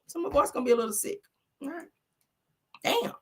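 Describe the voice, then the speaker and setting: A woman hosting a celebrity gossip show with sassy, animated commentary, her voice a little sick and deeper than usual. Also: a little fast. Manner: loud, sassy, calls viewers honey and baby.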